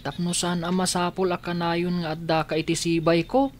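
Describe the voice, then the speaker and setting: A woman's voice: on the low side, flat delivery, normal speed, a little hiss or hum, close to an everyday voice. A woman with an Ilocano accent playing a wistful, grateful blind schoolboy in a radio drama.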